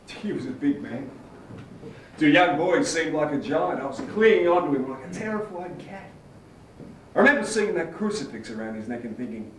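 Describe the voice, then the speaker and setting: A haunted, unhinged sailor telling his story on stage. His voice sits high and swings widely, with dramatic, intense delivery. It is heard from a distance in an echoey theatre. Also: fairly bright tone. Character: mad, haunted sailor confessing a childhood near-drowning. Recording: echoey theatre, distant mic, faint noise.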